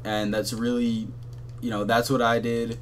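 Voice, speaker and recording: A young man with a monotone voice, rambling casually with lots of fillers and swearing as the host of a gaming channel, talking from his bedroom. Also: medium pitch, unhurried, a little hiss or hum. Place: hot bedroom at his console.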